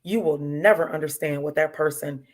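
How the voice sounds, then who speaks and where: A woman's voice: sits low, moderate pace. A woman in her fifties, talking casually as a guest and repeating herself for emphasis. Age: 50s. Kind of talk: casual chat.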